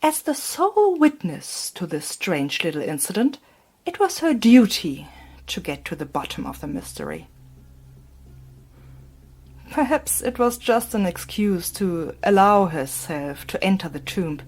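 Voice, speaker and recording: Woman, slightly low voice, slow pace, breathy hushed delivery, dramatic audiobook narration, background music. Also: very animated. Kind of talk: scripted literary narration.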